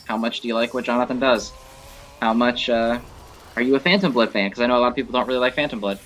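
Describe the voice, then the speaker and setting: A man's voice: medium pitch, quick pace, a bit bright, a little hiss or hum. A man giving casual, opinionated gameplay commentary, thinking aloud in a conversational way. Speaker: gaming video host.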